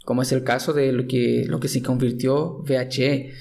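A man's voice: medium pitch, steady tone, moderate pace, creaky, darkish tone. A young man from Chile with a Chilean accent, hosting a casual podcast conversation, hesitant and full of restarts. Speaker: podcast host.

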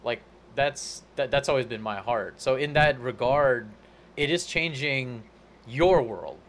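A man's voice: mid-range voice, a little slow, a bit bright. A man hosting a live podcast, rambling through his thoughts with many fillers and restarts. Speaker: podcast host. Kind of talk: casual podcast chat.

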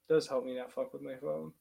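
A woman co-hosts a casual livecast, adding crude, offhand jokes. Her voice sits low with a gravelly fry, and her pitch swings around freely. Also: dark tone. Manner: crude, joking asides. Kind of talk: casual chat.

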